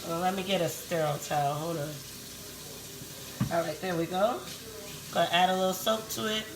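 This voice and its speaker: A woman with a raspy voice, hosting a casual live cooking demo from her home kitchen and chatting with her viewers as she works. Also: on the low side, fairly even intonation, moderate pace, background noise. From Puerto Rico.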